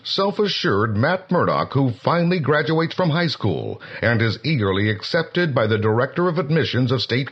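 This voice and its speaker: A man's voice: mid-range voice, breathy edge. A male narrator telling a comic story in a declamatory, dramatic way. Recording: dull lo-fi vintage record.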